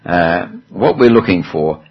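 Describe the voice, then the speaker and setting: A man's voice: low-pitched, unhurried, highs cut off. A man preaching a sermon in a church hall, talking in a chatty way with some self-deprecating humor.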